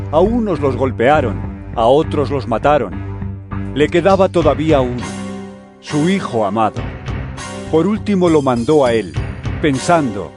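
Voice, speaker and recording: Casting: male actor for firm holy teacher, higher-voiced and lively in inflection, solemn declaiming, dramatized Bible audio. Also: close to an everyday voice. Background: music.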